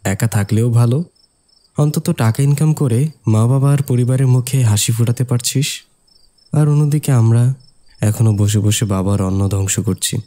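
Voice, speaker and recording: A man playing a loyal young village friend who tells a cartoon story in a sentimental, measured way. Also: mid-range voice, mellow, natural voice. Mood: friendly. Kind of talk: dramatized story narration in an amateur cartoon. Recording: music behind the voice.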